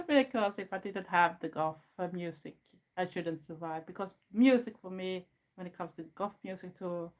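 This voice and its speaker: A woman in her fifties with a Swedish accent, a native Swedish speaker, chatting casually and frankly with viewers on a livestream in plain, earnest second-language English. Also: lowish voice. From Sweden. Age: 50s. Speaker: goth YouTuber hosting a livestream. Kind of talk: casual live chat answering viewers.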